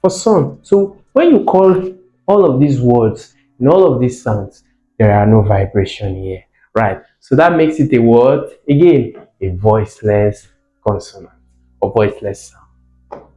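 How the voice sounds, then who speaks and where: Muffled, echoey male teacher, mellow voice. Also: mid-range voice, animated, measured pace. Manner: didactic, questioning, repeats key words for emphasis. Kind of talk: explanatory phonics lesson. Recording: muffled, echoey room with faint music behind.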